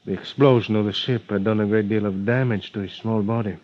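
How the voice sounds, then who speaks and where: An actor playing an earnest, grieving doctor with a Spanish accent, speaking formally and haltingly in vintage radio drama style. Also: male voice, lowish voice, steady tone, a little mellow, natural voice. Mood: solemn, grieving. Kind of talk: 1940s-50s radio drama acting. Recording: dull vintage radio recording.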